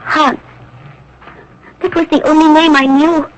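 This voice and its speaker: A woman's voice: sits high, steady tone, a little slow. An actress playing a young, anguished French resistance woman in a radio drama, earnest and emotionally strained, on an old noisy broadcast recording. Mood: distraught confessing.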